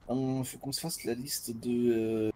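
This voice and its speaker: A man's voice: medium pitch, flat delivery, unhurried, darkish tone, slight background noise. A man chatting casually as he plays a role-play game with others. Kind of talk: casual chat.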